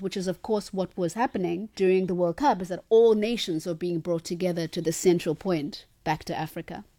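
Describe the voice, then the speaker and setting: A woman sings short lines, then stops to explain what they mean. Her voice is dark and mellow. It is recorded close and dry. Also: medium pitch, no room sound. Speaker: singer explaining her song. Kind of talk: sung phrases with spoken explanation.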